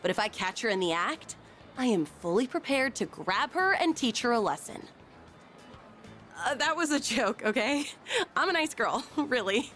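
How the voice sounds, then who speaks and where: A woman's voice: a little high, sing-song, moderate pace, a bit bright, faint music behind. A young woman voicing an easygoing, frank, sporty teenage schoolgirl in a video game, with casual delivery. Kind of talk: video game character dialogue.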